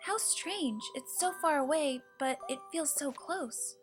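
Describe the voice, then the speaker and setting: A woman's voice: sits high, a little slow, faint music behind. A woman playing a tender, homesick young girl with an earnest, emotional way of talking, in a storybook reading. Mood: wistful.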